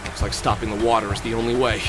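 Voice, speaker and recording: A man's voice: fairly low pitch, flat delivery, rapid-fire, close to an everyday voice. A man voicing a wry, protective middle-aged adventure game hero who often mutters expressively to himself. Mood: urgent, worried. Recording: background music under the voice.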